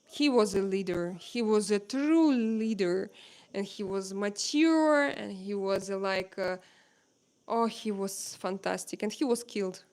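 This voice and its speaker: A woman with a Russian accent and Russian as her first language, hosting a casual live-stream chat at home and pausing often to search for words. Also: mid-range voice, darkish tone. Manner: halting, searching for words with many pauses.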